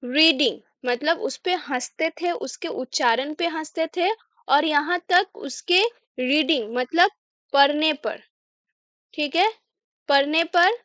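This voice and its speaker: A woman's voice: high voice, slow, fairly bright tone, no room sound. A young Indian woman teaching English step by step, patiently asking questions and then answering them herself. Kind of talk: slow line-by-line English lesson explained in Hindi.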